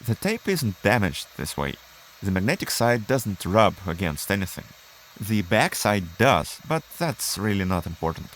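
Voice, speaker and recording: A man's voice: fairly low pitch, very animated, measured pace, slight background noise, low-quality recording. A man narrating a scripted do-it-yourself tutorial, explaining each step methodically. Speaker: video host explaining a DIY procedure.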